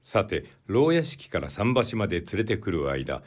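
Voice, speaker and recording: A man reading classic literary fiction as an audiobook narrator, in a measured, deliberate way. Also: sits low, sing-song.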